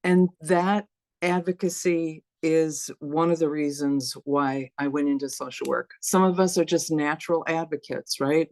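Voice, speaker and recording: A woman's voice: deep voice, darkish tone, dry recording. A woman, a university dean, giving an earnest, passionate talk to an online forum, with rhetorical questions. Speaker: dean and guest speaker.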